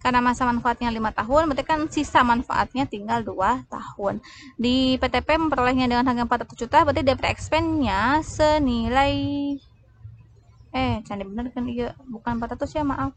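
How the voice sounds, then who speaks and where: Woman, slightly high pitch, informal lecturer, question-and-answer explaining, light background noise. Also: brisk. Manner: asks and answers own questions, checks with listeners. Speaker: accounting lecturer. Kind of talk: informal explanatory tutorial narration.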